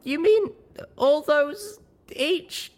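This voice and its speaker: A man voicing an anxious, earnest young female pony in an audiobook story, squeaky when flustered. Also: lively intonation, very slow, a little hiss or hum, exaggerated voice.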